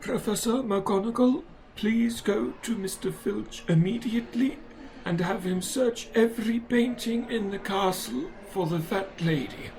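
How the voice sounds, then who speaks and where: A performer voicing an elderly male wizard headmaster in a fan audio drama, speaking formally and deliberately with calm authority. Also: mid-range voice, natural voice, rough recording. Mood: grave, commanding.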